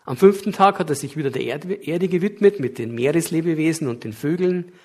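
Middle-aged guy w a bavarian lilt, kinda husky, earnest lecture voice, stops and starts a bit. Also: on the high side, a little fast, a little mellow. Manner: earnest, explanatory, with occasional hesitations. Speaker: guest lecturer. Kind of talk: public lecture.

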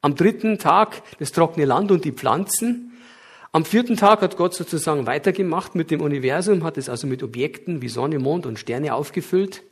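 Middle-aged guy w a bavarian lilt, kinda husky, earnest lecture voice, stops and starts a bit. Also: on the high side, animated, moderate pace. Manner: earnest, explanatory, with occasional hesitations. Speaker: guest lecturer. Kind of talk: public lecture.

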